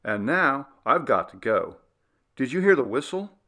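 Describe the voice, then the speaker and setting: A man's voice: medium pitch, very animated, unhurried, pushed and bright, full character voice. A man voicing a hearty, wry male friend in a storybook reading, jovial and emphatic as he teases his companion. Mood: hearty farewell. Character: hearty, teasing male friend seeing someone off.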